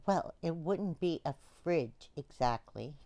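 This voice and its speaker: A woman's voice: low-pitched, expressive, slow, mellow, slight background noise, full character voice. A woman reading the part of a pushy repair person who schemes over a broken fridge, in an offhand, wheedling way. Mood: sly. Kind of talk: play reading.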